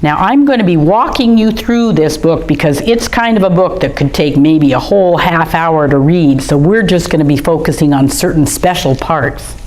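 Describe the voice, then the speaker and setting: A woman's voice: sits low, normal speed, few pauses, a little mellow, rough recording. Chatty woman reading to kids. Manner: chatty, friendly, speaks straight to young kids. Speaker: museum storytime reader. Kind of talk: reading a picture book aloud to children. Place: art museum gallery, indoors.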